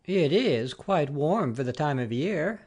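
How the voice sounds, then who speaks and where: Nervous gentleman visitor, played by a man: polite, formal, earnest, preoccupied with his ailments, classic story audiobook. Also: on the high side, animated, slow. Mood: polite, uneasy. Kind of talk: dramatic audiobook reading.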